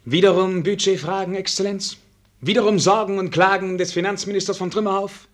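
An actor playing a melancholy young prince in a radio drama, weary of courtly formality and declaiming with theatrical bitterness. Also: male voice, high-pitched, fairly even intonation, fairly quick, close to an everyday voice. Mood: troubled. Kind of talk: German radio drama acting.

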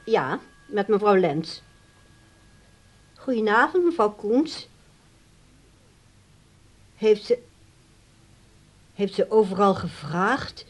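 An actress playing an anxious, dutiful grown daughter in a radio play, speaking carefully and hesitantly. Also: female voice, mid-range voice, animated, slow pace, pauses often. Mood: polite, worried.

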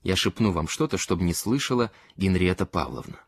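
A man voicing a small melancholy gentleman in a literary story, shy and eccentric, speaking hesitantly and confidingly with distinct words. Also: low-pitched, animated, a little fast, slightly rough, no echo, heavily acted. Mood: secretive, hushed.

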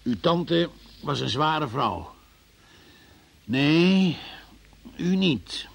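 An actor in a radio drama playing a stern, sardonic, relentless police interrogator who questions formally and presses hard. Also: male voice, on the high side, lively intonation, drawn-out words, vocal fry, full character voice. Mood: deliberate, accusing.

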